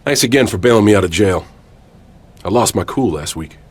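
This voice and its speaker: A man's voice: lowish voice, animated, brisk, slightly rough. A man playing a stoic, defiant wrestling hero with a dry, plain-spoken manner in a video game cutscene. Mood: dry, wry.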